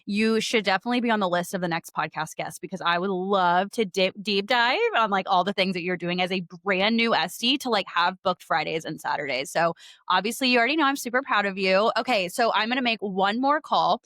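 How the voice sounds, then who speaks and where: A woman hosting a casual podcast, talking in an excitable, informal, girl-talk way peppered with "like". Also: medium pitch, a little fast, no room sound, studio quality. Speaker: podcast host. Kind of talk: casual chatty phone-call podcast.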